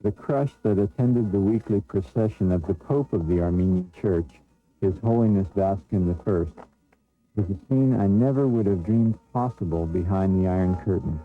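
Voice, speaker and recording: A man giving scripted first-person documentary narration in a measured, reflective way. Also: deep voice. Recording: narrow lo-fi sound with faint music. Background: faint music.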